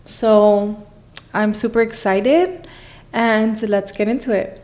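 A woman's voice: medium pitch, steady tone, slow pace, darkish tone. A woman in her twenties hosting a casual podcast in a bubbly, informal, teasing way. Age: 20s. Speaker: podcast host.

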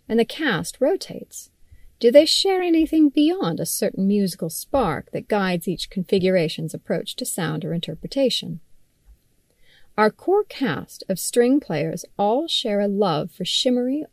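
A woman reading her own magazine article aloud as scripted narration, articulate and measured, with wry, pointed emphasis. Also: medium pitch, darkish tone, dry recording.

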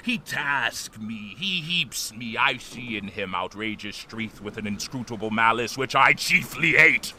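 An actor playing a vengeful old one-legged whaling captain, grandiose and oratorical, in a theatrical audio drama. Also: male voice, medium pitch, lively intonation, a little slow, hardly any pauses, pushed and bright, a little hiss or hum. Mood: intense, brooding. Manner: grandiose, oratorical, brooding to thundering.

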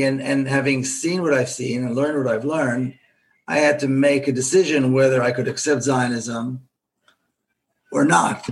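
A man's voice: medium pitch, low-quality recording. A middle-aged man from Israel, an author talking as a guest in a podcast interview, reasoning aloud with frequent restarts. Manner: thoughtful, repeats and restarts while reasoning. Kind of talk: podcast interview, earnest explaining.

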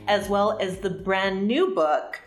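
A woman's voice: mid-range voice, takes it slowly, reverberant, faint music behind. A woman hosting a podcast, introducing her guest with upbeat enthusiasm. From New Jersey, USA. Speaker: podcast host.

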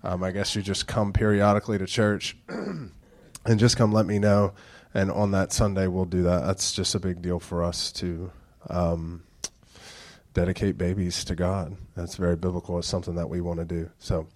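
Stuffy low-voiced pastor in his thirties. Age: 30s. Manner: self-described monotone, low and slow. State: stuffed-up nasal congestion. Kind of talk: casual sermon with announcements and dad jokes.

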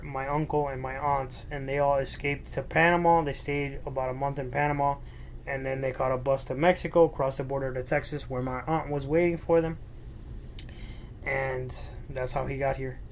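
Man talking into a laptop, flat-toned. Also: mid-range voice, moderate pace, vocal fry, slight background noise. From Miami, United States. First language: Spanish. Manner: earnest, rambling with restarts. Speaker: podcast host. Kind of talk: solo personal storytelling monologue. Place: bedroom at home, alone. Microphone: laptop microphone.

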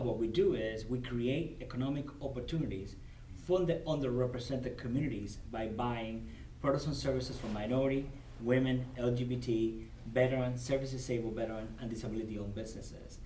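A man from Cuba speaks with a Cuban Spanish accent, Spanish being his first language. He talks earnestly, often repeating words as he finds his way, at a middle pitch. He is recorded in a roomy space from some distance away, with faint noise behind him. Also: warm tone. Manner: earnest, repeats words while gathering thoughts. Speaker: guest, corporate supplier diversity director. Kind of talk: conversational podcast interview. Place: convention venue.

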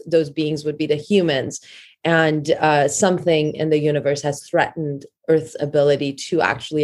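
A woman author, a guest in an interview, explaining in an earnest, steady way with many "uh"s. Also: low-pitched. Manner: earnest, steady, with frequent fillers. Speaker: author and meditation teacher.

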